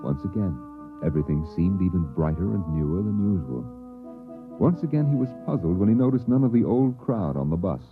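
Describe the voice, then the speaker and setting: A male actor narrating an uncanny story in a measured, dramatic old-time radio style. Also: low-pitched, lively intonation. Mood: ominous. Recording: tinny old radio recording with music.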